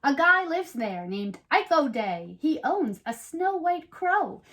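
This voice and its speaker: A perky, high-pitched storybook buddy from a kids' show, played by a woman. The delivery is bubbly and very melodic, moving between rhythmic read-aloud and chatty asides. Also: very animated, takes it slowly, a bit bright, exaggerated voice. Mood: enthusiastic. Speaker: co-host reading a picture book aloud.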